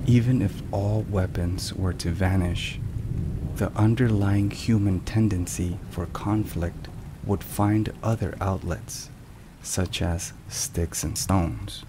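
Deep, slow male ASMR narrator over rain. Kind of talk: ASMR scripted devotional narration. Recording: rain and thunder noise. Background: thunderstorm and rain sounds.

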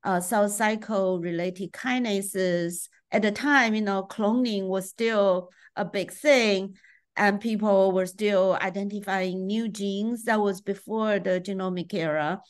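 A middle-aged woman from Taiwan speaks at an unhurried, deliberate pace, pausing often with small ums as she thinks. Her voice sits at a moderate pitch. She talks over a video call from a room at home. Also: fairly even intonation. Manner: measured, thoughtful with frequent ums. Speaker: neuroscientist guest. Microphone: video call webcam.

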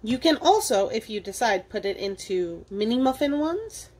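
A woman's voice: a little high, sing-song, moderate pace, a little mellow. A woman hosting an informal step-by-step cooking tutorial, explaining casually as she works with her hands at a kitchen counter. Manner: casual, explanatory, talking while working with hands. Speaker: cooking demonstrator, host of a library cooking show.

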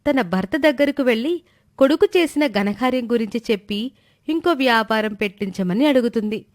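A woman's voice: a little high, lively intonation, moderate pace, dry recording, clean studio sound. A woman narrator reading a scripted moral story in a storytelling style.

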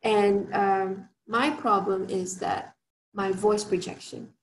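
A soft-voiced woman from Malaysia giving a workshop talk over a video call, chatty, with many fillers and restarts. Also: mid-range voice, steady tone, slow, slight background noise, poor audio. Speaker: workshop speaker. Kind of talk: online presentation talk.

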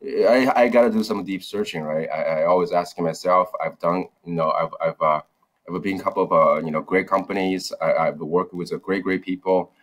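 Low-voiced guy on a video call, lots of uh and restarts. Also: steady tone, a little fast. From China. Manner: hesitant, many fillers and restarts. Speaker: startup founder, guest. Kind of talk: interview over video call. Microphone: video call, webcam.

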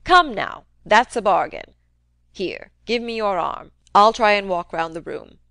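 Crabby old landowner, loud and pushy, dry close sound, a woman reading him. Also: mid-range voice, lively intonation, unhurried, dry recording, heavily acted. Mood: cantankerous. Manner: gruff, wheedling, loud and emphatic. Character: cantankerous domineering old country man.

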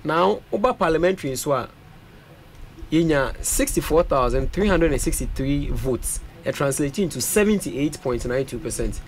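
A young man with a Ghanaian accent speaks into a studio mic on a radio talk show. His voice sits a little high, and he switches between Twi and English while listing election figures. A faint background hum stays under him. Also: lively intonation, normal speed, low-quality recording. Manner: mixes Twi and English, reels off vote figures. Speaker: parliamentary aspirant guest. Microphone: studio mic on a boom.

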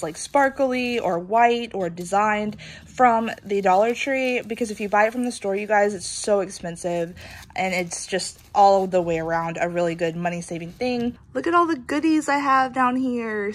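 A chatty woman talks in a loose, rambling way, full of "um" and "like". Her voice sounds stuffy and congested from a blocked nose. She is sitting on a living room floor with the camera aimed down at the carpet. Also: a little high. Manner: chatty, rambling, frequent "um" and "like", laughs often. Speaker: reseller vlogger. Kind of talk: casual haul chat.

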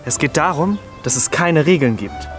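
A man's voice: on the high side, expressive, normal speed, faint music behind, natural voice. A young man playing a bossy, solemn butler in a scripted web series, stern and instructing, outdoors. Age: young adult. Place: outdoors in a garden.

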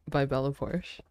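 A woman streamer chatting casually with friends while she draws, relaxed and laughing often with lots of fillers. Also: a bass voice, dry recording. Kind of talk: casual chat while drawing.

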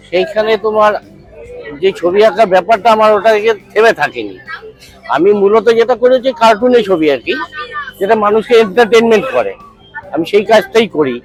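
A middle-aged man with a Kolkata Bengali accent talks in a notably high voice. He explains informally, as in an interview, checking that he is understood, with music behind him. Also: low-quality recording. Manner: conversational, checking the listener follows. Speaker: coffee stall owner and cartoonist.

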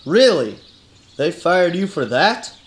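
A man's voice: high-pitched, big pitch swings, slow, heavily acted. A man playing a weary, skeptical hardboiled detective with colloquial talk, in a noir-parody radio drama. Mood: softening, puzzled. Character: exasperated, hardboiled male detective questioning his cousin.

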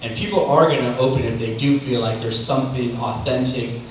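A man's voice: mid-range voice, steady tone, fairly quick. A man speaking informally as a panelist, explaining his work at a conference. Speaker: panelist, digital communications staffer. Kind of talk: panel discussion answer. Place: hotel conference ballroom, recorded from the audience. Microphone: distant camera picking up the room sound. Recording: distant, very echoey room recording, faint noise.

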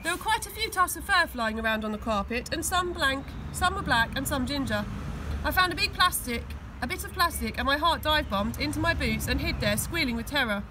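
A woman's voice: high-pitched, lively intonation, brisk, noisy background. A woman reading a children's story aloud expressively, recorded outdoors. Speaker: school teaching assistant reading aloud. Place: outdoors on grass.

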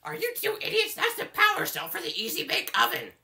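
A cocky bird gang leader from a game, as a man puts him on, talking in a very high, bright, gravelly squeak. He swoops up and down and throws out smug insults. It is a loose comedic fan dub. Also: huge pitch swings, heavily acted. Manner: smug, bossy, quick to insult.

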